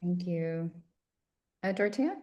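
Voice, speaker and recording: A woman moderating an online session, briskly calling on participants. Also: on the low side, animated, a little mellow.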